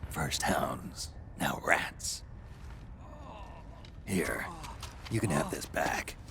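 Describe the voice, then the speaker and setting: A war-worn, prematurely old soldier from a dramatic video game, with an extremely low, gravelly growl. He is gruff and terse, drawing his words out slowly over a noisy scene. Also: exaggerated voice. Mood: sardonic, blunt. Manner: terse, slow, growling delivery. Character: prematurely aged gruff legendary soldier, weary and blunt.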